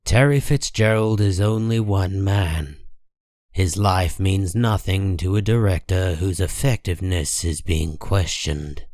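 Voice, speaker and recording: A man narrating a comic book drama from a script in a grave, deliberate manner. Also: deep voice, darkish tone, dry recording, studio quality.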